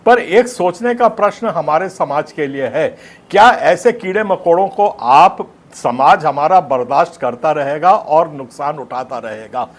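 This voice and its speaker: A man from India with a slightly high voice delivers an emphatic public appeal. His manner is scornful and rhetorical, pressing his points as he goes. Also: animated, unhurried.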